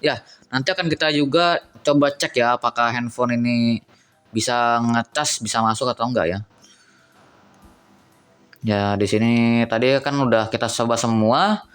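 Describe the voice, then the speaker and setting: A young man talks viewers through a budget phone, thinking aloud. His voice sits in an ordinary middle pitch. Soft music plays faintly under his chatter. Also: fairly even intonation, normal speed, pauses often, clear, resonant tone. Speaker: phone reviewer. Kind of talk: casual phone review vlog.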